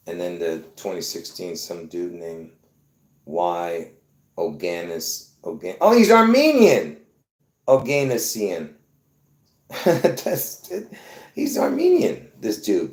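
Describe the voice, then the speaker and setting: A man from Lebanon hosting his own video, talking excitedly to viewers in a rambling, repetitive way with bursts of laughter. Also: low voice, reverberant. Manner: excitable, repeats himself, laughs between points. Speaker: video host. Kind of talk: rambling casual monologue to viewers.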